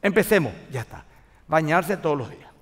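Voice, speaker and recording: A Paraguayan pastor in his forties delivers an animated sermon, voicing little dialogues as he goes. His voice sits high for a man and climbs and drops dramatically. The church hall adds a long reverb tail. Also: brisk. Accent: Paraguayan Spanish. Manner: animated preaching with acted dialogue and gestures. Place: large church stage with live congregation.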